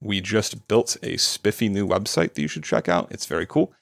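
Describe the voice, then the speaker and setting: Low-voiced male podcast guest. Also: normal speed, gravelly, dry recording, clean studio sound. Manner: relaxed, searching for words with restarts. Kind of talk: casual post-game chat.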